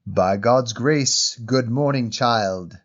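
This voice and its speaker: A man plays a very old fruit seller in a short story. He is kindly and amused, speaking in a gentle, unhurried, teasing way at a medium pitch. The sound is a touch muffled. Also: close to an everyday voice. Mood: warm greeting. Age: elderly. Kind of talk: audio short story character voice.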